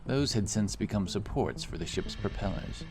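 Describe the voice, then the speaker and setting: A man's voice: lowish voice, lively intonation, normal speed. A man narrating a scripted fantasy story as an audiobook reader. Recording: clear music bed behind the voice. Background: background music.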